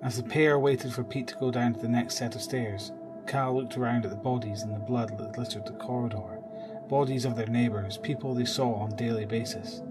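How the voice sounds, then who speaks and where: Guy narrating a scripted horror audio drama, fairly low voice, music under it. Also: poor audio.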